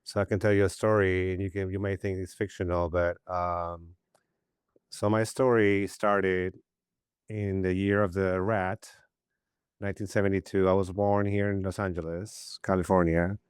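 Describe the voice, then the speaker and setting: Deep smooth-voiced man. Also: normal speed, dry recording, studio quality. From Peru. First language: Spanish. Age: 50s. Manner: rambling, animated storyteller with many restarts. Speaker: poet, podcast guest. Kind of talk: casual storytelling interview.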